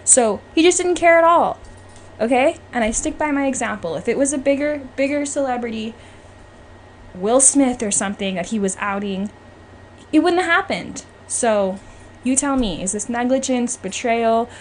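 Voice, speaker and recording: A woman's voice: a little high, lively intonation, normal speed. A woman hosting a podcast, talking casually and emphatically as she gives her opinions. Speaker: podcast host. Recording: clearly noisy background.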